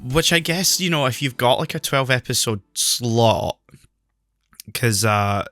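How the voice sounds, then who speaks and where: A man's voice: mid-range voice, animated, measured pace, a bit bright, dry recording, faint music behind. A man co-hosting a casual podcast whose voice is still recovering after he lost it, rambling with many fillers and restarts while he records remotely on a new microphone. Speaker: podcast co-host. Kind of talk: casual chat.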